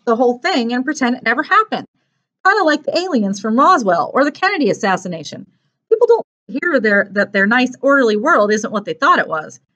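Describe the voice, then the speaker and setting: An anxious, awkward teenage boy performed by a woman narrator, pitched up from her usual voice. He is earnest and nervous in this storybook reading, and the recording sounds a little dull. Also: bright, forceful tone. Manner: anxious, stammering when upset.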